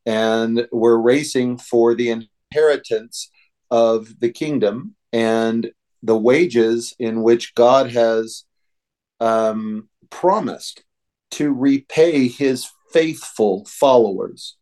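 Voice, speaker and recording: An American man co-hosting a religious podcast, teaching in a deliberate, halting way. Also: medium pitch, darkish tone.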